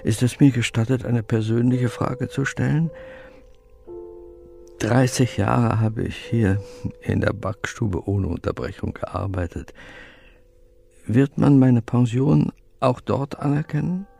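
A man acting a humble, deferential middle-aged pastry baker in a radio play, meek and polite, with a creaky voice. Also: medium pitch, takes it slowly, mellow, faint music behind. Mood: meek, anxious.

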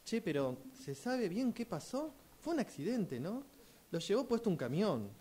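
Un hombre con acento argentino que interpreta en un radioteatro a un amigo preocupado, informal y algo titubeante. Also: male voice, high voice, big pitch swings, dark tone, a little hiss or hum. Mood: concerned, curious. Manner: informal, halting. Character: concerned male friend offering condolences and asking questions. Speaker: radio drama workshop participant. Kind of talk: rehearsed radio drama acting.

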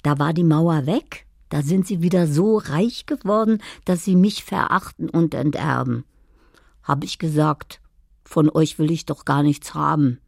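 Low, creaky, dreamy storyteller. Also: female voice, a little mellow, no room sound, clean studio sound, full character voice. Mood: proud, hurt. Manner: slow, wistful, deliberate. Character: dreamy, wounded older woman telling her life. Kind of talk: radio drama monologue, poetic.

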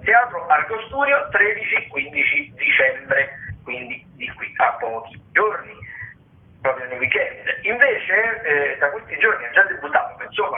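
A man hosting radio theatre listings in an informal, improvised way, rambling and hesitant, often correcting himself. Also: a little high. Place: radio broadcast. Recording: tinny, narrow-band radio or phone sound.

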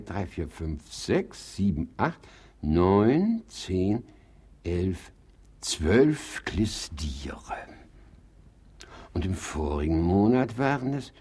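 A creaky, fussy old-style miserly hypochondriac. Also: male voice, medium pitch, a little mellow, full character voice. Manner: theatrical muttering, wailing and scolding. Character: fussy, miserly male hypochondriac, querulous and self-pitying. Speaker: actor. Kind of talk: German radio play comedy acting.

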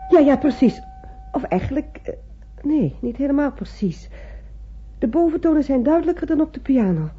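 A woman's voice: high-pitched, expressive, moderate pace, slight background noise. An actress playing an anxious, insistent female patient in a radio drama, speaking earnestly. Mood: searching, eager. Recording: muffled old radio recording.

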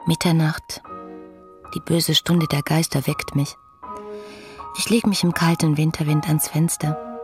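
Give the listener a young romantic in a radio drama, with music faintly behind her. Her voice sits low. She reads her letters intimately and lyrically, with intense longing. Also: level tone, measured pace, long pauses, darkish tone. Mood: haunted, yearning.

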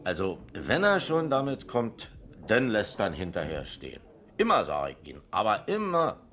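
An actor playing a down-to-earth, long-serving pub landlord in a German radio crime drama, colloquial and emphatic, with a Berlin accent. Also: male voice, fairly low pitch, huge pitch swings, normal speed, a bit bright, exaggerated voice.

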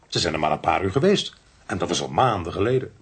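A man's voice: low-pitched, very animated, fast, breathy edge, exaggerated voice. A man acting in a radio drama as a plain-spoken, slightly squeamish police inspector with a hesitant, matter-of-fact manner. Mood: hesitant, curious. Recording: faint hiss on radio play recording.

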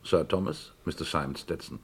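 An actor playing a curt, dignified English gentleman with clipped, imperious delivery in a 1960s German radio crime comedy. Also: rumbling low, normal speed, husky, heavily acted. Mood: reserved. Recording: old 1960s radio play recording.

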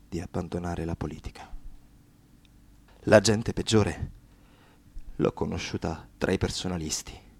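An actor playing a weary, despairing political activist in a radio play, speaking haltingly and deliberately. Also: male voice, deep voice, vocal fry, slightly rough, exaggerated voice. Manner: halting, deliberate, with repeated words. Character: weary, despairing young male political activist reading his confession. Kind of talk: radio theatre monologue.

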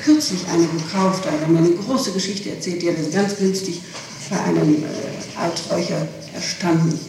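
Middle-aged woman on echoing, noisy old tape. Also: on the low side. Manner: halting, evasive, searching for words. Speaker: witness being questioned. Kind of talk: police interrogation answers. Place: reverberant room, far from microphone. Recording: noisy, echoing 1960s interrogation tape, distant.

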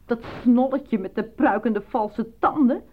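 An actress playing a sceptical, sharp-tongued wife who questions and needles in a radio drama style. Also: female voice, fairly high pitch, unhurried. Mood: jealous, sardonic. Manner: sardonic, questioning. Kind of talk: Dutch radio drama acting. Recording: tinny, narrow-band old radio play.

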